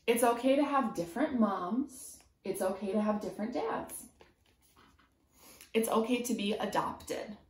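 A woman in her twenties reads a picture book aloud as a preschool teacher, pausing to put simple, encouraging questions to young children. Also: mid-range voice, darkish tone. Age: 20s. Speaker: preschool teacher reading a storybook. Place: small room at home, indoors. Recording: roomy indoor echo.

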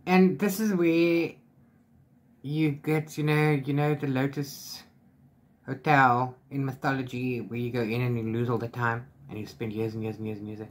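A middle-aged man with a medium-pitched voice talks casually to the camera as a book vlogger. He rambles through his retelling in an animated way, tossing in little filler syllables as he goes. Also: normal speed. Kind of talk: casual chat recapping a book. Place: small book-lined room at home.

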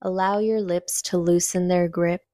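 A woman leading a guided meditation, giving gentle, unhurried instructions with long pauses between phrases. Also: on the low side, fairly even intonation, dry recording, clean studio sound.

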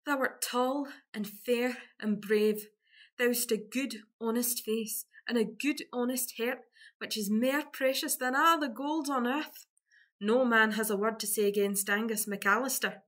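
A woman's voice: on the high side, steady tone, unhurried, a bit bright. A woman playing a sweet, modest young country lass with a broad rustic Scottish accent in a farcical radio play. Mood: tender, earnest.

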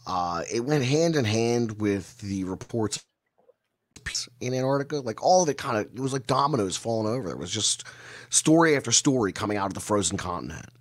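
Low-voiced middle-aged man telling mysteries. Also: expressive, a little fast. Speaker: guest storyteller and researcher of mysteries. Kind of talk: conversational storytelling interview. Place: indoor room, video call.

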